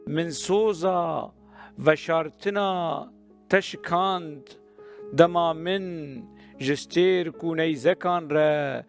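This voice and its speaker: A middle-aged male poet from Syria whose first languages are Kurdish and Arabic. He speaks slowly in a gravelly voice and fills his sentences with long hesitant "uh" pauses. Soft guitar plays faintly in the background. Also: fairly high pitch. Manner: many drawn-out "uh" pauses. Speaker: poet reading at a literary festival. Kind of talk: hesitant prepared talk, then poetry recital.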